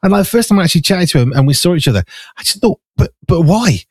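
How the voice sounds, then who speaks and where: A man with a raspy, gravelly, hoarse voice, an animated radio host chatting effusively with many restarts. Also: on the high side, rapid-fire, no echo, clean studio sound. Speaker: radio host and magazine editor. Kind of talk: casual conversation. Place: farmhouse.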